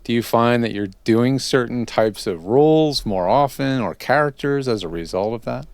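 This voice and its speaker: A man's voice: mid-range voice, lively intonation, normal speed, smooth, no room sound. A man hosting a podcast, interviewing in a casual, conversational style.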